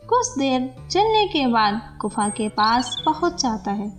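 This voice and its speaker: A woman's voice: on the high side, very animated, a little slow, faint music behind. A woman narrating a scripted moral story for children.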